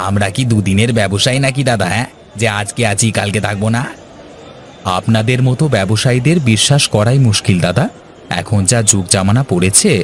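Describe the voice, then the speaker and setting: A man voicing a pushy, smooth-talking fish seller in a cartoon, coaxing with hesitant fillers. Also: medium pitch, close to an everyday voice.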